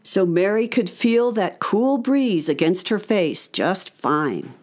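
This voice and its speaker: A woman narrating a scripted children's story in an expressive, carefully phrased storytelling manner. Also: medium pitch, slow pace.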